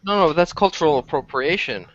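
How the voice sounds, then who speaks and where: A man in his twenties chatting casually in a hesitant, halting way. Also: a little high, animated. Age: 20s. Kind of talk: casual chat. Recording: muffled online call, faint noise.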